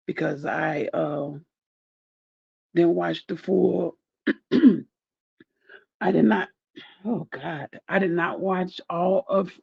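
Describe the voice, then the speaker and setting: Grieving guy w a super gravelly groggy voice, talks real slow, dull lo-fi dry sound. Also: dry recording. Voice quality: groggy, deep. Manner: soft-spoken, rambling, heartfelt. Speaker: YouTuber thanking viewers. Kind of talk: casual direct-to-camera chat.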